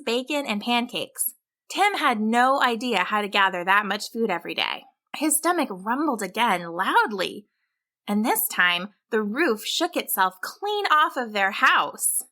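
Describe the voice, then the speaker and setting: A woman reading a scripted children's story as a storyteller, with an expressive, deliberate delivery. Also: mid-range voice. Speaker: storyteller, podcast host.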